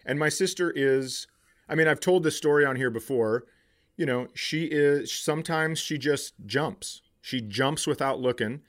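A middle-aged man, a comedian podcast host, telling stories casually in a profane way and acting out what people said. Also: mid-range voice. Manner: profane, animated retelling with acted-out quotes. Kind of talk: casual comic storytelling.

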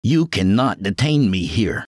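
A man's voice: lowish voice, very animated, unhurried, darkish tone, no room sound, clean studio sound. A man voicing an irritable, exhausted doctor who snaps back with indignation, in an audiobook. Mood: indignant. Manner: bitter, snapping, indignant.

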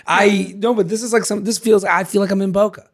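A man co-hosting a podcast in casual, joking chat, hoarse as his voice comes back. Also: high voice, fairly quick. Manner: joking, animated, self-mocking. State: hoarse, voice recovering. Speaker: podcast co-host.

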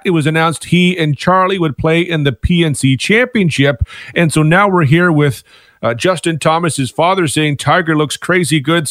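A man giving a radio sports report, explaining events in a measured, steady way into a studio microphone. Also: a little high, no room sound.

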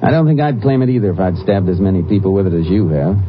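An actor playing a calm, tough Texas Ranger lawman, laconic and commanding, in a 1950s western radio drama. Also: low-pitched, fast, a little hiss or hum. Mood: dry, taunting. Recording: muffled vintage radio recording with music.

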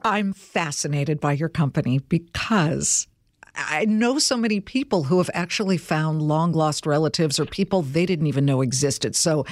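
A woman's voice: low-pitched, normal speed, no room sound, clean studio sound. A woman hosting an interview, asking questions in a chatty, opinionated way with asides and restarts. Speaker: interview host. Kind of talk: conversational interview.

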